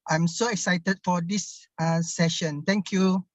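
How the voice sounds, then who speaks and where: A man's voice: high voice, fairly even intonation, a little slow, dry recording. A man from Malaysia who teaches primary school, introducing himself on a video call. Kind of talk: online meeting self-introduction. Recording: dull lo-fi call audio.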